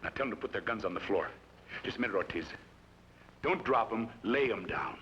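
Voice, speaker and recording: A cool western gunman with a bright, forceful voice, talking fast in a dry, folksy drawl. The sound is dull and muffled, like an old radio broadcast with faint hiss. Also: on the low side, lively intonation. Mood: commanding. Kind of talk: 1940s radio acting.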